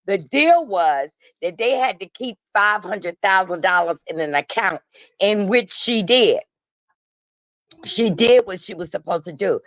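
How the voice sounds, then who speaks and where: A woman's voice: mid-range voice, expressive, unhurried, fairly bright tone, no echo. A woman giving casual gossip commentary as a guest, emphatic and matter-of-fact, with colloquial phrasing. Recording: dull, lo-fi call audio.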